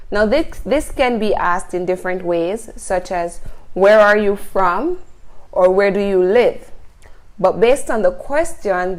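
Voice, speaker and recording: A woman from Jamaica, teaching an online English lesson and modeling each phrase slowly and carefully, checking in with "Good?". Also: medium pitch.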